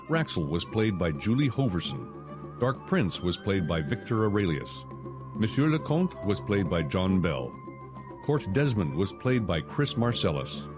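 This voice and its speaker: A man announcing cast credits for an audio drama in a formal, scripted delivery. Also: on the low side.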